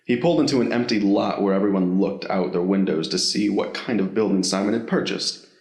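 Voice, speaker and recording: A man narrates a scripted story in a fairly low voice. He reads as the narrator of an audio drama, and the recording has a noticeable roomy echo. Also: a little fast, gravelly, darkish tone.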